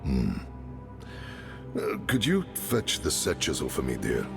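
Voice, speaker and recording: An actor playing a kindly, gruff village carpenter and father in a game cinematic, speaking in a thoughtful, halting, warm way. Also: rumbling low, huge pitch swings, exaggerated voice. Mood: solemn. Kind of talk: video game cinematic acting. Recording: music behind the voice.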